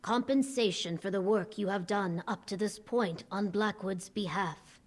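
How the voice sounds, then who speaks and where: An actress playing a grave, commanding military leader in a video game, speaking formally and with measured words. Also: female voice, mid-range voice, lightly acted.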